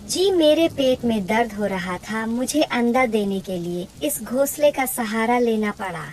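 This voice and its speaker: A woman voicing a brash, scheming, sharp-tongued female bird in a cartoon story. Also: on the high side, expressive, unhurried, poor audio. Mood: pleading. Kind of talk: Hindi cartoon story acting.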